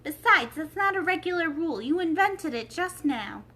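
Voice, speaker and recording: A woman's voice: very high-pitched, expressive, normal speed, bright, forceful tone, pitched above the performer's own voice, exaggerated voice. A woman voicing a sensible, defiant young girl from a storybook, argumentative and matter-of-fact. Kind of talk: storybook character read-aloud.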